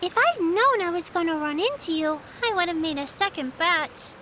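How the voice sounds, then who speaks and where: Very high, bright little girl. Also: animated, moderate pace, slight background noise, full character voice. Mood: kind, hospitable. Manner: polite, gently instructive, formal. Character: well-mannered, caring, earnest little girl. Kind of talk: video game voice acting.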